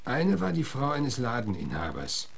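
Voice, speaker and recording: An actor playing a gruff, coarse-mouthed frontier marshal in a German radio drama, blunt and questioning. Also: male voice, medium pitch, wildly swooping pitch, moderate pace, full character voice.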